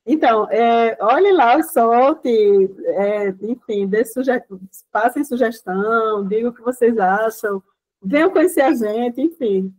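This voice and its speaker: A woman's voice: fairly high pitch, slow pace, darkish tone, rough recording. A woman speaking as a class guest, chatty and full of little fillers. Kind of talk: casual spoken class discussion.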